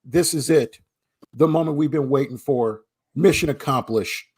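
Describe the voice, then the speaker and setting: A man's voice: mid-range voice, normal speed, a little mellow, husky. A man co-hosting a live talk show, teasing his guests in a playful way. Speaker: co-host. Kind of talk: live casual chat.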